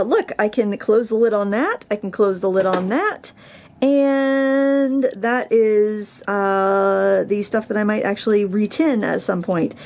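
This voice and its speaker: A woman with a Maine accent talks her way through tidying her craft room at a middle pitch. She rambles and second-guesses herself with plenty of ums and likes. The sound comes from a camera held in her own hand indoors. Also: a little mellow. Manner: rambling, self-deprecating, many ums and likes. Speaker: craft vlogger. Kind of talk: casual chatty vlog while tidying. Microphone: handheld camera mic.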